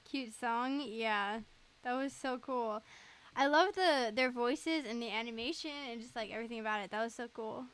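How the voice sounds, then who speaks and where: Bright, high-pitched woman livestreamer, giggly. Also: normal speed, clean tone. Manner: giggly, enthusiastic, chatty. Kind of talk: casual livestream chat.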